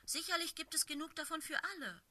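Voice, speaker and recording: A woman's voice: high voice, lively intonation, fast talker, bright, forceful tone, no room sound, pitched above the performer's own voice, heavily acted. A woman voicing a curious, earnest young male house cat.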